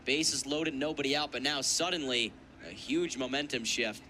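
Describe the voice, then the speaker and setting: A man's voice: mid-range voice, moderate pace, pushed and bright, a little hiss or hum. A man giving color commentary on a live baseball game, in conversational, reflective phrasing.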